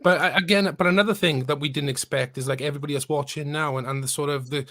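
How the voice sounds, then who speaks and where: A man with a slightly high voice hosts a casual podcast chat. He rambles and restarts his sentences. The sound is dry. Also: fairly even intonation, quick pace, a bit bright, no echo. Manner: rambling, many restarts and fillers. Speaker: podcast host.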